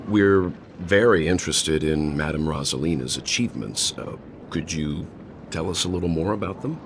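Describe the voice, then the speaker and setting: A calm game character with an extremely deep voice, courteous and measured. Clear noise sits behind him. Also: male voice. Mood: curious. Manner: measured, polite questioning. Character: calm, courteous, reserved male traveler. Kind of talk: video game voice acting.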